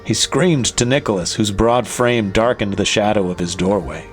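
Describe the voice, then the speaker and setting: A man narrating a scripted horror story in a measured, deliberate and dramatic way over music. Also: fairly low pitch. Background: faint music.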